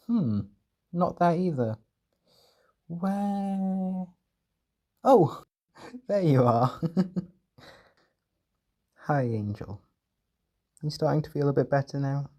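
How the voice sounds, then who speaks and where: A man performing a gentle, doting caretaker in an intimate comfort roleplay, speaking softly and reassuringly with tender pet names. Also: medium pitch, drawn-out words, long pauses. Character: gentle, doting male caretaker soothing an overwhelmed partner.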